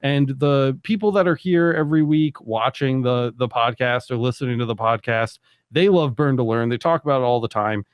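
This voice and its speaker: A man's voice: medium pitch, moderate pace, clean tone, no echo. Laid-back man in his thirties, dry sound. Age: 30s. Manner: laid-back, rambling, lots of "like" and "dude". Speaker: podcast host. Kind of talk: casual chat.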